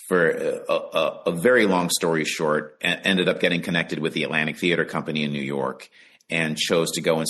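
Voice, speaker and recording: A man from the Bahamas, an audiobook narrator and actor, talking about his work in a relaxed interview over a video call, with thoughtful pauses. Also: a bass voice.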